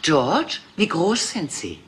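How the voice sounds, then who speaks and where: An actress playing a poised, gracious aging film star in a radio play. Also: female voice, sits low, wildly swooping pitch, takes it slowly, noisy background, full character voice. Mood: amused. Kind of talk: German radio play acting.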